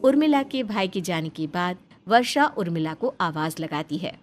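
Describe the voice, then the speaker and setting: A woman's voice: on the low side, lively intonation, moderate pace, soft background music. A woman reading scripted narration as the storyteller of a cartoon family tale.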